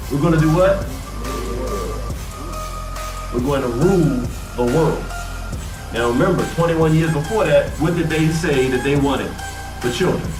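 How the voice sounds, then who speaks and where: A man in his forties hosts a live show, hammering his points home and slowing down to repeat them. He sounds distant from the mic in a roomy, echoing space. Clear music plays behind him. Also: on the high side. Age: 40s. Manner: emphatic, repeats key points slowly. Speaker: host. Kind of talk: live talk-show monologue.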